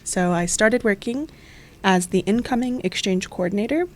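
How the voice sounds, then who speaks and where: A woman's voice: mid-range voice, normal speed, slight background noise. A young woman from Florida, an exchange coordinator, explaining her job in friendly detail in an interview. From Florida, USA. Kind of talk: interview answers.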